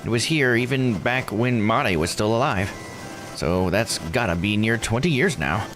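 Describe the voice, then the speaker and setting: A man voicing a kindly old island grandmother in a visual novel, folksy, unhurried and dryly joking. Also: on the low side, lively intonation, noisy background, pitched above the performer's own voice, natural voice. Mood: calm explaining. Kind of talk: visual novel character read aloud.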